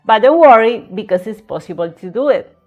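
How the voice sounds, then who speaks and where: A woman's voice: a little high, expressive, fairly quick, phone-quality sound, faint music behind. Spanish-accented woman tutoring essay writing. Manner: repeats words, frequent "okay?" and "right?" checks.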